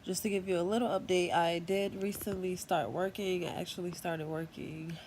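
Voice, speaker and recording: A woman's voice: lowish voice, steady tone, moderate pace, darkish tone, slight background noise, rough recording. Stuffy-nosed young woman chatting to camera. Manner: rambling, chatty, full of fillers. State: congested from a cold. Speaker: channel host. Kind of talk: casual vlog monologue. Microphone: tablet microphone.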